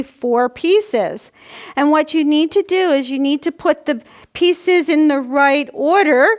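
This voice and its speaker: A woman hosting a children's storytime, explaining craft activities step by step in simple language for young listeners. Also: high-pitched. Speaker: children's library storytime host.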